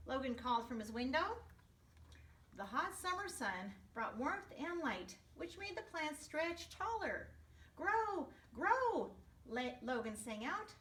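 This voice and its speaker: High, bright woman teacher in an echoey room. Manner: expressive, explaining to children, spells out words. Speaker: first grade teacher reading to her class. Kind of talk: storybook read-aloud for young children with asides. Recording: echoey room, distant microphone.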